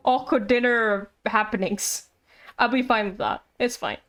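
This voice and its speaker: A woman's voice: fairly high pitch, fairly even intonation, measured pace, fairly bright tone. A woman streaming a video game, chatting casually with playful asides and reading game text aloud over music.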